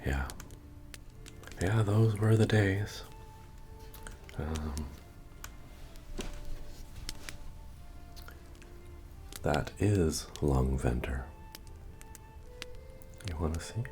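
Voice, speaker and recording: A man playing a friendly, humble medieval innkeeper and retired adventurer in a soft-spoken, folksy ASMR fantasy roleplay, with hesitant murmuring. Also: animated, extremely slow, long pauses, heavily acted. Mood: wistful, modest. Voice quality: deep, gravelly, often breathy or whispered. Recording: music under the voice. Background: medieval bard music.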